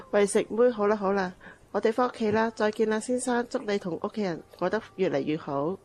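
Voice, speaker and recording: A woman's voice: mid-range voice, normal speed, a little mellow, natural voice. A woman playing a grateful, kindly mother of a young daughter in a Cantonese radio drama. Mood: warm, encouraging.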